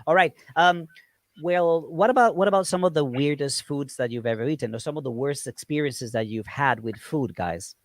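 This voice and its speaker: A man from Colombia hosting a casual group chat on a video call, relaxed and chatty with many pauses and laughs. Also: fairly high pitch. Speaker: live stream host.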